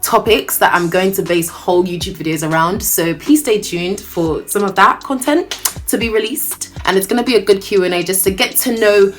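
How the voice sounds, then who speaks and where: A woman in her twenties from Nigeria, chatting casually through a Q&A on her vlog, playful and quick to joke. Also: mid-range voice. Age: 20s. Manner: chatty and playful, jokes and laughs often. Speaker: YouTuber.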